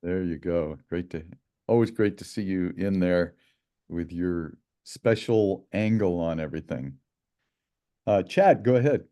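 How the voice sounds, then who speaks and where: Low, mellow-voiced man hosting a call. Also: a little slow. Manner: relaxed, chatty, many fillers. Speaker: meeting host, project lead. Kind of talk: casual video call meeting. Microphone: video call.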